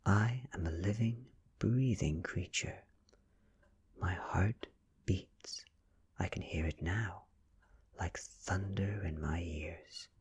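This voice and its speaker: A British man reading a story aloud in a soft, breathy ASMR whisper, with hushed, deliberate phrasing and pauses. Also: low-pitched. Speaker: audiobook-style ASMR reader.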